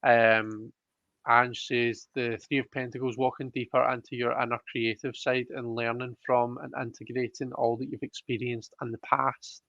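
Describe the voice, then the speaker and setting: A man's voice: medium pitch, little pitch movement, normal speed, a bit bright, dry recording, lo-fi sound. A man hosting a casual livestream, chatting informally and a little hesitantly. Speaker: host of a live tarot reading show. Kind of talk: casual livestream chat, reading out comments.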